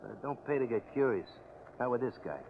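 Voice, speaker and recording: Noisy dull vintage radio, male mob henchman, middling pitch, tough, slangy, obedient, 1940s crime drama. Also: animated, a little fast, vocal fry. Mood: guarded, tough. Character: rough, obedient male gangster henchman and getaway driver.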